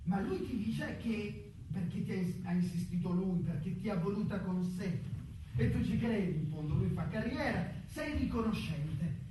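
A man's voice: high-pitched, moderate pace, warm tone, lightly acted. An actor playing a resentful, cynical male colleague who sneers and insinuates insistently in a stage drama. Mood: insinuating. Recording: echoey, distant stage sound, faint noise.

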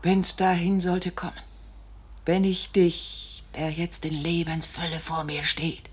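An actress playing a devoted, foreboding noblewoman with hushed, solemn delivery in 1950s radio drama style. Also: on the low side, level tone, takes it slowly, slightly rough. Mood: haunted. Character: fearful, devoted, foreboding noblewoman, resolute in dread. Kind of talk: 1950s German radio drama stage acting. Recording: noisy 1950s radio recording.

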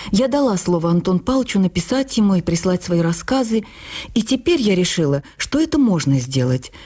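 An actress playing an earnest, wounded woman writer, narrating her memoir for radio in an expressive, confiding, deliberate manner. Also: on the low side, breathy edge. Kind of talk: dramatized first-person literary narration for radio.

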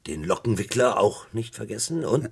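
An actor playing a stern, impatient British police interrogator in a German radio crime play, with a commanding, sarcastic way of questioning. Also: male voice, lowish voice, big pitch swings, moderate pace, vocal fry, breathy edge, heavily acted. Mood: sarcastic disbelief.

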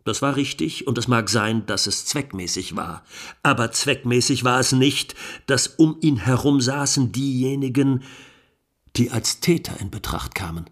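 Casting: accusing 1920s defence lawyer, mid-pitched, measured and emphatic, rhetorical courtroom plea for radio documentary drama. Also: male voice, animated, a bit bright. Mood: insistent, reproachful. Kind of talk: dramatised courtroom closing speech.

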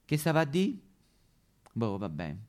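A man's voice: medium pitch, huge pitch swings, a little slow. A man playing a brash, weary female prostitute with a Roman accent, in a coarse, slangy comic monologue.

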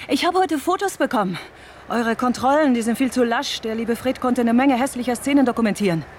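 A woman's voice: a little high, expressive, a little fast, slight background noise, lightly acted. An actress in a German radio drama playing a cunning, vengeful woman who talks in a taunting, intimate, theatrical way. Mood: mocking. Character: vengeful cunning woman restorer, playful and obsessive.

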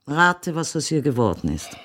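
An elderly, dignified mother in a radio play, speaking to her grown son. Her intonation is very lively, swinging widely between phrases. She uses old-fashioned diction with halting, searching pauses. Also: deep voice, full character voice. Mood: wry, disapproving. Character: elderly, dignified, fond mother of a returning soldier. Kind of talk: German radio play acting.

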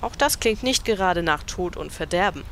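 A woman's voice: on the low side, expressive, normal speed, fairly bright tone. A woman voicing a matter-of-fact news reporter, with faint background noise. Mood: dry. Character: dry, unimpressed female news reporter. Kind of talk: parody audio drama.

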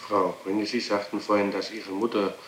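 A man's voice: fairly low pitch, flat delivery, normal speed, roomy sound, a little hiss or hum, low-quality recording. A man formally questioning someone as a police interrogator, on an old 1960s tape.

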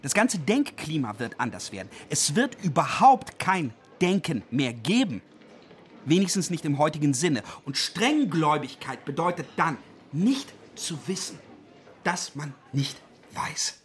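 An actor playing a fanatical Party intellectual in a German radio drama, speaking in an emphatic, declamatory way. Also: male voice, on the high side, very animated, a little slow, slight background noise. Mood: zealous. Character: fanatical male Party intellectual predicting the death of thought.

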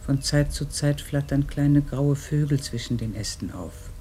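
An actor playing a quiet man who narrates a radio play in a calm, lyrical way. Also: on the high side, measured pace, slightly rough. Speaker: secondary narrator.

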